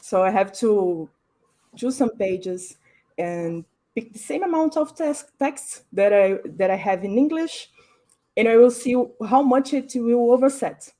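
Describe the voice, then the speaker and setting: Woman explaining, slightly high voice. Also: lively intonation, mellow. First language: Portuguese. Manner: thoughtful, pauses to search for words. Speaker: graphic designer. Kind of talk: panel discussion, explaining her process. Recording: online panel stream.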